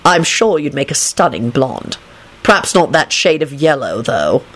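A woman's voice: lowish voice, big pitch swings, normal speed, husky. A woman voicing an amiable, wry and unruffled British man in a story, with a pleasant, teasing manner. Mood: amused, teasing. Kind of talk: dramatised story reading.

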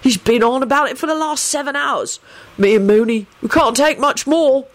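A woman's voice: high-pitched, normal speed, pitched above the performer's own voice, lightly acted. A woman voicing a cheeky, scheming male wizard, emphatic and conspiratorial, in a story reading. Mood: sympathetic, emphatic.

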